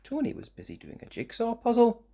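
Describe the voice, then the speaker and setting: Brief: storybook narrator for young children, expressive and animated, with wide melodic swings. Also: medium pitch, brisk, vocal fry. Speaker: children's story reader, host.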